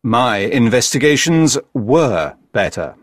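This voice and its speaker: An actor playing a brisk, critical, self-assured detective in a dramatised story. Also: male voice, mid-range voice, sing-song, no echo, studio quality. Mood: scolding.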